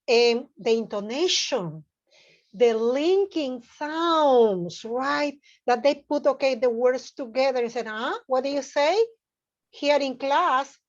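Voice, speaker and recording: Chatty woman on a muffled call line. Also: on the high side. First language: Spanish. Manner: chatty, peppers speech with "okay" and "right". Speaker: teacher, audience participant. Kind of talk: casual thanks and anecdote. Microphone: video call. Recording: dull lo-fi call audio.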